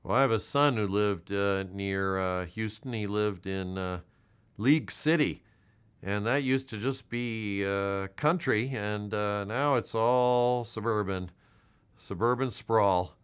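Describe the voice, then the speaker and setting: Gentle, clear-voiced older American man. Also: on the low side. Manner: gentle, halting, reassuring. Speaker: health department volunteer caller. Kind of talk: supportive check-in phone call.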